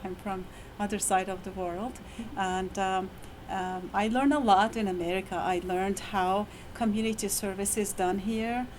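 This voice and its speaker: A middle-aged woman with an Iranian accent gives a talk to fellow faculty in a university room, her voice tired after a long lecture. She speaks haltingly, with frequent fillers and restarts, at an ordinary pitch for a woman. Faint background noise sits under the recording. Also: steady tone, a little mellow, poor audio. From Iran. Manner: hesitant, many fillers and restarts, laughs often.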